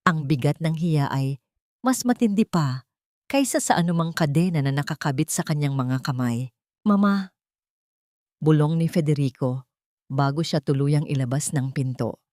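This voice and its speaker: A woman's voice: low voice, normal speed, mellow, no room sound, clean studio sound. A Filipino woman reading a scripted dramatic story as its narrator.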